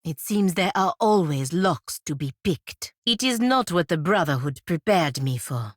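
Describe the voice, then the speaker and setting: A proud woman paladin from a fantasy video game, curt and sardonic. Her delivery is unhurried yet clipped and commanding, swinging widely in pitch. The sound is close and dry, with no room around it. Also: animated, dry recording. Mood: formal, composed. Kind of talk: video game character voice lines and battle barks.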